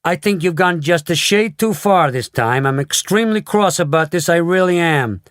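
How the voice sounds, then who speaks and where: A man's voice: fairly high pitch, lively intonation, normal speed, fairly bright tone, dry recording, studio quality, pitched above the performer's own voice. A man voicing a self-important wealthy father who blusters. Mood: pompous.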